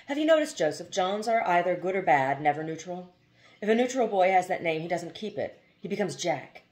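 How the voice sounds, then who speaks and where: A woman voicing a thoughtful young mother and protective wife in a storybook, gentle and questioning. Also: lowish voice, lively intonation, fairly quick, lightly acted. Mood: playful.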